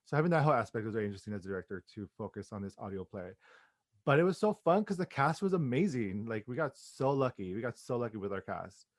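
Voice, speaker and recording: A man's voice: medium pitch, big pitch swings, quick pace, dry recording. A man chatting casually and effusively in an interview as a playwright and director, with informal slangy phrasing.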